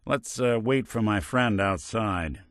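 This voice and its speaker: An American actor playing a world-weary, gruff but decent synthetic private detective in a noir game, with a dry, sardonic manner. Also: male voice, lowish voice, takes it slowly, no echo. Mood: businesslike, steady. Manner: dry, sardonic, old-time gumshoe slang. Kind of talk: noir hard-boiled detective game dialogue.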